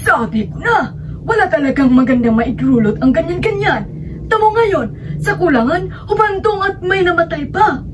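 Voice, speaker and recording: A woman's voice: very high-pitched, lively intonation, normal speed, background noise. A woman acting a worried, scolding grandmother in a Filipino radio drama. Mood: disapproving. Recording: distant mic with music underneath.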